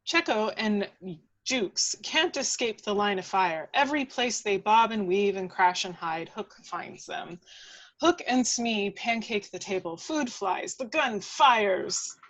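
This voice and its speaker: A woman's voice: mid-range voice, expressive, slow pace, fairly bright tone, poor audio. A woman reading scripted action descriptions as the narrator of a table read. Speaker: stage-direction reader.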